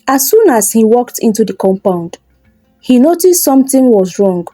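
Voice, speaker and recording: A woman's voice: fairly high pitch, sing-song, moderate pace, dark tone, no echo, soft background music, poor audio. A woman narrating a scripted folktale as a storyteller.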